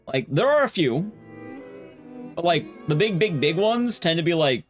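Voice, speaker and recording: Animated man chatting on a game stream. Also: on the high side, measured pace, soft background music. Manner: rambling, conversational, blunt, swears casually. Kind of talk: casual live-stream chat while gaming.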